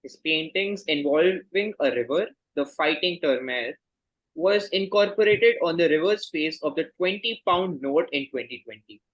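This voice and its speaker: Higher-pitched man reading as quiz host. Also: animated, moderate pace, fairly bright tone, low-quality recording. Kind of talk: formal quiz reading to contestants.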